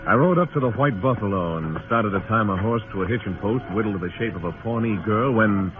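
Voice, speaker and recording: An actor playing a world-weary, laconic frontier marshal with a dry, brooding manner in a radio western. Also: male voice, on the low side, expressive, quick pace, natural voice. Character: hard-bitten, world-weary male US marshal in Old West. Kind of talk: 1950s radio western narration and acting. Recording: tinny old radio recording, music behind.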